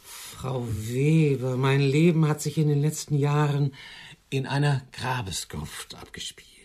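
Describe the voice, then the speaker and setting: An actor playing an elderly, lonely widower in a radio drama, courting with formal, awkward, old-fashioned politeness. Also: male voice, mid-range voice, unhurried, darkish tone, slight background noise, lightly acted. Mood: solemn, tender. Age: older.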